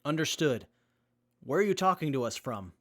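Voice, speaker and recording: A man acting as an earnest, capable young survivor with a measured, methodical manner in a post-apocalyptic audio drama. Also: fairly high pitch, big pitch swings, noisy background. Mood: focused.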